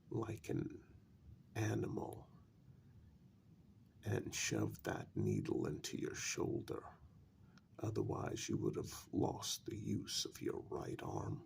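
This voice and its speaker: A man playing a patient but blunt vampire envoy in an ASMR role-play, speaking softly and deliberately with a gravelly, breathy voice and firm emphasis. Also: low voice, a little hiss or hum, full character voice. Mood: grave, explaining. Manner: slow, deliberate, with long pauses and firm emphasis. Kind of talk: soft-spoken ASMR role-play monologue.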